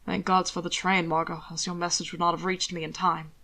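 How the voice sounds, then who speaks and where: A composed, charming nobleman and doctor from a storybook, speaking low and measured, as a woman reading aloud puts him on. His words stay quiet and steady, never rushed. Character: composed aristocratic man, doctor, calm and charming.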